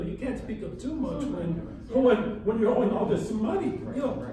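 An older man speaking bluntly and fast as a panelist in a panel discussion, recorded from far off in a large hall. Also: high-pitched, dark tone, slight background noise. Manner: blunt, outspoken, fast-talking. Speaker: panelist, museum founder. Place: large hall with brick walls. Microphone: far room mic.

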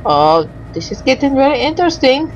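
A woman doing let's play commentary, reading game dialogue aloud haltingly and adding muttered asides. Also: high-pitched, sing-song, highs cut off, a little hiss or hum.

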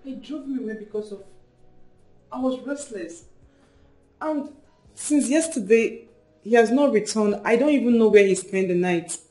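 A woman's voice: fairly high pitch, normal speed, long pauses, warm tone, lightly acted. A woman playing a restless, guilty young student in a subdued way in a film drama. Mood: regretful, subdued. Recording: echoey room, faint music.

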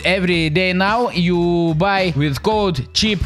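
A man in his thirties with a Lithuanian accent, streaming games in blunt, crude, deadpan broken English on a close desk microphone. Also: high voice, slow pace, faint music behind. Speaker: gaming streamer. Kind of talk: comic gameplay chat. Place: small indoor streaming room.

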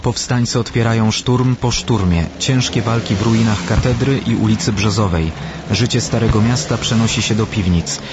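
Man, radio documentary narrator, lower-pitched, scripted historical delivery, noisy ambience behind. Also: normal speed.

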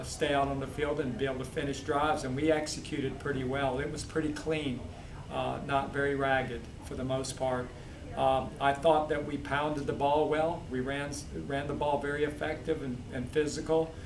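Man coach with flat voice, echoey crowd. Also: mid-range voice. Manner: steady, measured, frequent fillers. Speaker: head football coach. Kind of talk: postgame press interview. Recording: echoey, distant mic, crowd noise.

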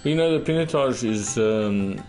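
A middle-aged man, a visiting winemaker, explaining his wines in a halting way with many fillers and restarts, seated at a table in a wine cellar. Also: medium pitch, expressive, darkish tone, soft background music, low-quality recording.

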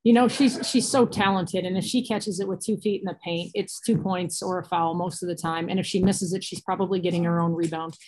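A woman's voice: on the low side, steady tone, a little fast, hardly any pauses, darkish tone, poor audio. A woman in her thirties with an American accent, a coach giving matter-of-fact, colloquial answers at a postgame press conference over a video call. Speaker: basketball coach. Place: small cinder-block room indoors. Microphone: laptop or phone on a video call.

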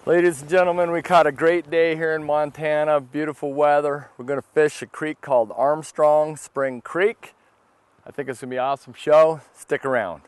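A middle-aged man hosting a fishing show outdoors by a river, talking casually with quick, enthusiastic exclamations on a clip-on mic. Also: a little high, steady tone, clean tone, dry recording.

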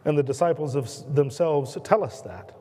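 A man in his thirties with an American accent, preaching a sermon from the pulpit in earnest, slowing and dropping his voice on key words. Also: lively intonation, a little mellow, husky. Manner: earnest preaching, slows and drops low on key words, gestures a lot. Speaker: pastor. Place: church sanctuary at a pulpit. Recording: long church reverb.

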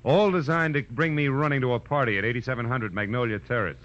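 A man's voice: medium pitch, quick pace, a bit bright, close to an everyday voice. An actor playing a wry, world-weary private detective who narrates his own case in 1940s radio drama style. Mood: reluctant, exasperated. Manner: wry, sardonic. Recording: dull old-time radio recording.

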